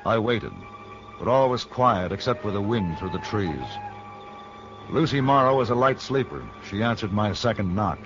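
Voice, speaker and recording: A man playing a steady, commanding western gunfighter, dull old recording. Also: fairly low pitch, over music, lightly acted. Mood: calm, firm. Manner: calm, dry, deliberate. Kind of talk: 1950s western radio drama acting and narration. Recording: dull, noisy old radio broadcast.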